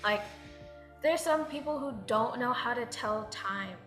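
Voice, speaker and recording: A woman's voice: a little high, unhurried, fairly bright tone, faint music behind. A woman speaking as a guest on a panel, reflective and loose, with many fillers. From United States. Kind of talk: panel discussion talk. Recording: echoey room.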